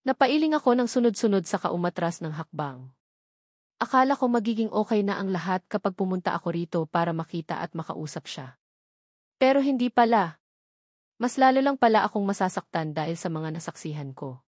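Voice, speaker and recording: A woman's voice: mid-range voice, expressive, a little fast, a little mellow, dry recording. Woman narrating a romance novel, close-up sound. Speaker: audiobook narrator. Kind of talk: dramatic first-person romance novel narration.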